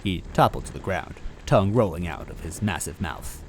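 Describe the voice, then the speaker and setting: Deep, animated male storyteller. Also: normal speed, slight background noise. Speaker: narrator reading a story aloud. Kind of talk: dramatic first-person story narration.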